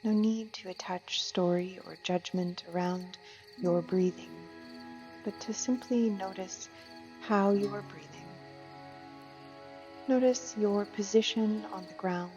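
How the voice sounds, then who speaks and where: A woman leading a guided meditation as a yoga teacher, gentle and soothing, with soft phrasing and long unhurried pauses. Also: mid-range voice. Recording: muffled, long reverb, music and insect sounds.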